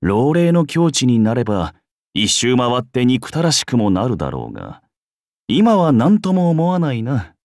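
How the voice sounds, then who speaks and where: An actor playing a cocky young genius schemer in a game, with a wry, theatrical manner. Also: male voice, medium pitch, huge pitch swings, measured pace, darkish tone, no room sound, studio quality. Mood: composed, wry. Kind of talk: game character acting.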